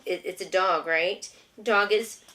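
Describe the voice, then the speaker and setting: A woman's voice: lowish voice, unhurried, sharp tone. A woman, a homeschooling mother, reading a picture book aloud to her young child with patient, encouraging, simple questions.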